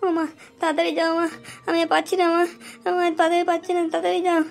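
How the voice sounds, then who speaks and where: Woman acting a high-pitched, wailing pregnant young woman. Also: completely flat delivery, racing, vocal fry, soft background music. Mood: pained, pleading.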